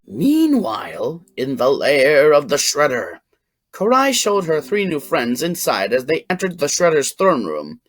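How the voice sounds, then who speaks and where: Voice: mid-range voice, huge pitch swings, a little slow, faint music behind. A narrator reading a scripted adventure story.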